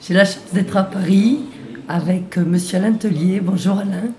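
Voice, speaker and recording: A hoarse woman interviewing a guest, apologising playfully for her voice. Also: medium pitch, steady tone, moderate pace, a little mellow.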